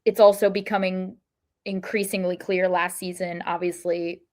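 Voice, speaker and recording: A woman's voice: fairly low pitch, flat delivery, moderate pace, a little mellow. A woman co-hosting a podcast, talking through sports analysis casually in a thoughtful way, often correcting herself mid-sentence. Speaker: podcast co-host.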